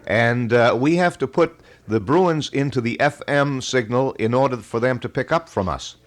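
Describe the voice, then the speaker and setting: A middle-aged American man hosting a live call-in radio show, talking in a folksy, wordy way with halting phrasing. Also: medium pitch. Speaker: radio talk show host. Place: radio studio. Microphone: studio broadcast microphone.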